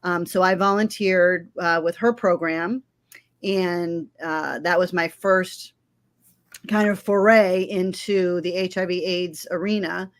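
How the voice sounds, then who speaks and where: A woman in her fifties, raised in Florida, works as a legal aid attorney. She answers interview questions in a friendly, measured way and fills her pauses with frequent ums. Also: on the low side, fairly even intonation. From Florida, United States. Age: 50s.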